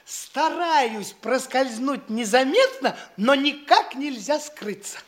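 High-voiced boastful young fop. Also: male voice, animated, heavily acted. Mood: boastful, excited. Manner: affected, gushing, drawn-out gallantry. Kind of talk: theatrical classic stage comedy acting.

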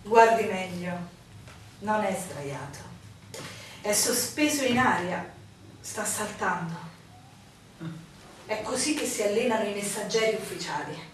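An actress playing a melancholy innkeeper's wife, no longer young, formal and emotional, in a stage-like radio drama. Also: female voice, mid-range voice, lively intonation, a little slow, long pauses, lightly acted. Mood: wistful, confessional. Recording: echoey room, distant mic, faint noise.

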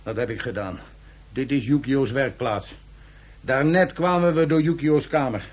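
A man's voice: medium pitch, moderate pace, natural voice. A man playing a scornful, hot-headed captive with a sarcastic, insolent manner in a science fiction radio drama.

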